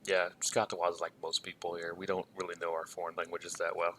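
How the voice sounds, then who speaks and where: A man's voice: low voice, completely flat delivery, fast, bright, forceful tone. A man in his thirties giving casual commentary, hesitant and rambling, with many ums and trailing thoughts. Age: 30s. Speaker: reaction video host.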